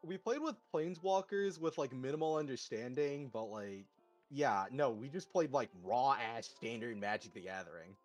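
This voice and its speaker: A man's voice: medium pitch, moderate pace. Joking man on a headset mic. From North America. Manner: dry, joking, animated storyteller. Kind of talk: casual chat. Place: parents' house. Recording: headset mic with faint music underneath.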